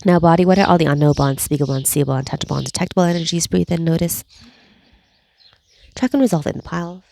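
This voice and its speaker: A woman leading a guided energy clearing session, speaking slowly and soothingly, with repeated cues and breathy sighs. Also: sits low, dark tone, slight background noise. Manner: slow, soothing, repetitive guiding with breathy sighs. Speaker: energy healing facilitator.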